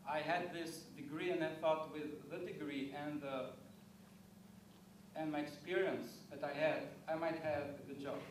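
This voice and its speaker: A man from Albania tells his story in halting phrases full of restarts and fillers. His voice sits a little high, and it sounds distant in a strongly echoing, noisy room. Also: steady tone. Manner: hesitant, repeats words, many fillers. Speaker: immigrant telling his story.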